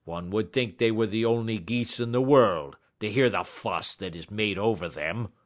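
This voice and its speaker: A man voicing a belligerent, gruff poultry salesman who talks loud and combative in an audiobook story. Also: medium pitch, big pitch swings, slow, pitched above the performer's own voice.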